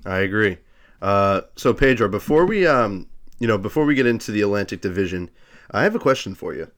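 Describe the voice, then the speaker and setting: A man's voice: on the low side, expressive, brisk, fairly bright tone. A man co-hosting a casual sports podcast, congested and stuffy, rambling with many fillers and restarts. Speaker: podcast co-host.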